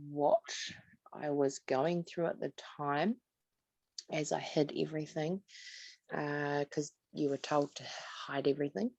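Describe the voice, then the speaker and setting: A woman in her forties from New Zealand with a New Zealand accent, guest on a video call, slowly and hesitantly recalling her past. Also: deep voice, no echo. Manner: soft-spoken, hesitant with many pauses. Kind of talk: slow personal recollection. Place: home room, indoors. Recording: dull lo-fi call audio.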